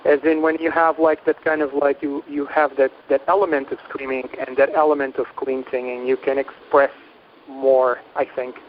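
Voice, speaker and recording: A man's voice: mid-range voice, level tone, moderate pace, phone-quality sound, a little hiss or hum. A young man from Portugal, a band's guitarist and singer, talking loosely with lots of fillers in a phone interview. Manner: rambling, hesitant, many fillers.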